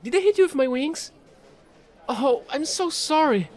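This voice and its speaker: A man playing a chatty, excitable fallen alien angel who trades in space, gushing and rambling in an audio roleplay. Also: very high-pitched, a little slow, full character voice. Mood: flustered, apologetic. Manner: bubbly, gushing, rambling, apologetic.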